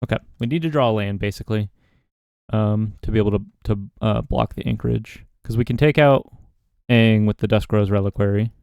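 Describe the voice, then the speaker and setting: A man's voice: fairly low pitch, fairly quick, creaky, smooth, no echo, clean studio sound. A man giving casual commentary while playing a card game, thinking aloud about his choices. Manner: relaxed, musing, talks himself through plays. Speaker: gaming content creator.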